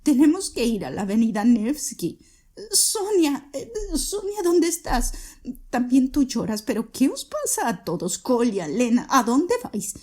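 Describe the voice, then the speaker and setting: A woman's voice: high-pitched, lively intonation, a little slow, warm tone. A woman voicing a frantic, grieving widowed mother who pleads shrilly and desperately.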